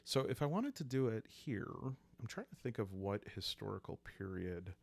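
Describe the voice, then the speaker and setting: A man with a calm, creaky voice hosting a relaxed solo roleplaying game, musing aloud in an unhurried, hesitant way. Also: lowish voice. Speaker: host of a solo roleplaying playthrough. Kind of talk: relaxed ASMR game narration, thinking aloud.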